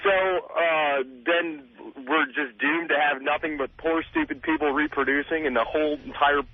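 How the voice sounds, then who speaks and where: A man's voice: fairly high pitch, steady tone, moderate pace, no room sound. A young man calling into a radio show, talking casually in a hesitant, conciliatory way. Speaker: radio show caller, Marine. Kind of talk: casual call-in conversation. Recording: dull lo-fi radio call.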